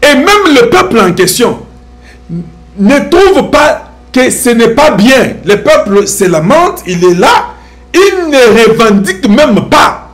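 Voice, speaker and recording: A man's voice: extremely high pitch, very animated, slow. A middle-aged man with a Congolese French accent giving impassioned, preachy social commentary to his viewers, full of rhetorical questions.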